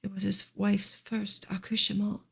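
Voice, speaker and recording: A woman reads narrative prose in a hushed ASMR style. Her whisper is extremely breathy and airy, with a strong crackly, fried grain. The delivery is gentle, measured and unhurried. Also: medium pitch, little pitch movement. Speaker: ASMR reader of a classic novel. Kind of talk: whispered ASMR audiobook reading.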